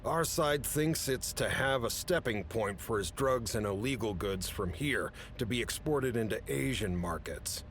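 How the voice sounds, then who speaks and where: A man playing an authoritative, businesslike chief who briefs agents in a measured way in an audio drama. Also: animated, fairly bright tone. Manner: authoritative, measured, matter-of-fact. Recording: rumbly background noise.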